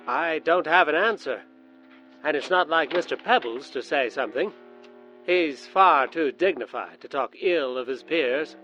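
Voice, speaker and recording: An actor playing a calm, patronizing space agency superior in a comedic radio play, matter-of-fact and mildly scolding. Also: male voice, a little high, measured pace. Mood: unruffled. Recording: muffled audio, faint music.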